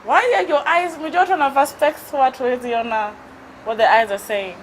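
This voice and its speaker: A woman with a Kenyan accent hosting a TV talk show, playful and lively, asking questions and teasing her guest. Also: high voice, fast, a little hiss or hum, poor audio. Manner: playful, lively, mixing in Swahili phrases. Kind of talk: casual interview chat.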